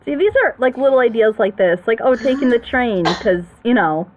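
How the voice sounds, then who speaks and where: A woman's voice: fairly high pitch, animated, moderate pace, a little mellow, lo-fi sound. A woman giving casual, jokey, slightly sarcastic commentary as a host of a group reaction show.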